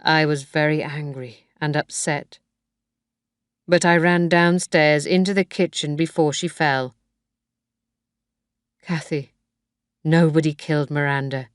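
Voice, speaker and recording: A woman reading a pleading widower, earnest, slow delivery, dry close sound. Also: sits low, fairly even intonation, clean tone, no room sound. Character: grown man, a pleading widower.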